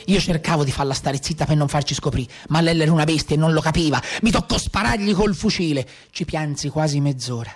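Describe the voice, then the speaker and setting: An actor playing a rough, boastful Maremma brigand in a theatrical monologue, with a Tuscan accent and earthy, colloquial storytelling. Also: male voice, a little high, quick pace, hardly any pauses. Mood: wistful storytelling.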